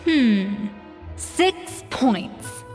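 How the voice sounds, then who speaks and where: An actress playing an exacting, haughty head chef in a video game, speaking in a critical, commanding manner. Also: female voice, fairly high pitch, very animated, very slow, long reverb tail, music in the background, heavily acted. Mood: scathing.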